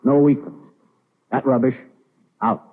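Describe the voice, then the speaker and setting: An actor playing a grandiose, scheming artilleryman who survived disaster, talking in excitable, rambling tough-guy slang in an old radio drama. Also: mid-range voice, a little slow, close to an everyday voice. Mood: scheming, eager. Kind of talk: 1930s radio drama acting. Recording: muffled lo-fi 1930s radio broadcast.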